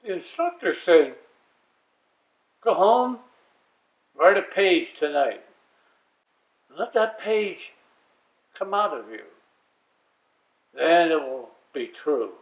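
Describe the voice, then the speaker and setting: An elderly man in his eighties reading poetry aloud with deliberate, expressive phrasing and pauses, seated in a room at home. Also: fairly high pitch. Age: 80s. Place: small domestic room indoors, seated at a wooden table. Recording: roomy, echoing, slightly distant microphone.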